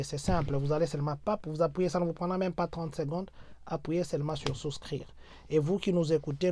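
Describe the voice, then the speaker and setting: A man's voice: on the high side, dark tone, a little hiss or hum. Congolese French man exhorting his viewers. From Democratic Republic of the Congo. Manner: repetitive rhetorical, mixes in Lingala. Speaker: host of a diaspora channel.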